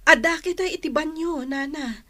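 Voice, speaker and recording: A woman's voice: high-pitched, moderate pace, a bit bright. A woman acting a concerned, eager young daughter in an Ilocano comedy radio drama, with an Ilocano accent.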